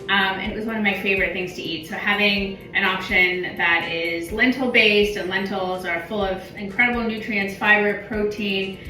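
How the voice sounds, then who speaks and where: A woman's voice: mid-range voice, fairly even intonation, normal speed, few pauses, sharp tone. A woman in her thirties hosting a cooking segment, eager and chatty, with frequent ums. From England, UK. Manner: enthusiastic, many fillers like um. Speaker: host, doctor. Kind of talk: upbeat cooking show hosting. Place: home kitchen. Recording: echoey kitchen, far from mic, faint music.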